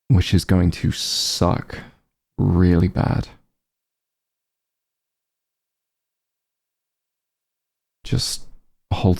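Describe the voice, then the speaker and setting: A man playing a calm, caring back-room doctor who gently scolds an old friend in a softly spoken ASMR roleplay, soothing with dry bluntness. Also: exaggerated voice. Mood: apologetic, steadying. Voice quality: low, gravelly, husky. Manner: soothing, unhurried bedside reassurance with dry bluntness.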